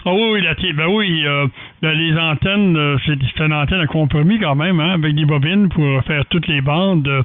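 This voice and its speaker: A man's voice: fairly high pitch, moderate pace, no echo. A man with a Quebec French accent chatting technically as an amateur radio operator, hesitant and often repeating words. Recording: dry, narrow phone-like radio audio.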